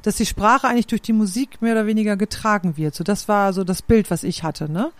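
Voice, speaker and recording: A woman's voice: mid-range voice, fairly even intonation, fast, dry recording. Reflective woman, dry close sound. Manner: reflective, searching for words with many fillers. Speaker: podcast host and singer. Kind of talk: casual conversation.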